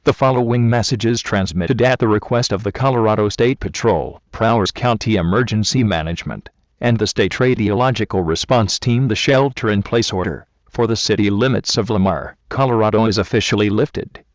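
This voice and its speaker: A man's voice: fairly low pitch, fairly quick, no echo. A man with a synthetic-sounding, formal and impersonal delivery, reading a scripted official emergency broadcast announcement. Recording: dull lo-fi alert audio.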